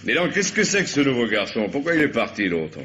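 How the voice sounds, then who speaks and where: An actor playing Fernand's part of a cynical, bossy drug-trafficking boss in an old French radio crime drama, slangy and mocking, with a husky voice. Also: male voice, mid-range voice, very animated, fairly quick, noisy background, close to an everyday voice. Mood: businesslike. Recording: dull lo-fi old radio recording.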